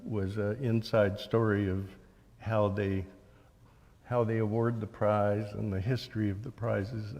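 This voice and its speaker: An American man in his seventies talking as an interview guest, recounting his work and memories in a measured, halting way. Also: fairly low pitch, flat delivery, darkish tone. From Oregon, United States. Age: 70s. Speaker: interview guest, author and journalist. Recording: long hall reverb.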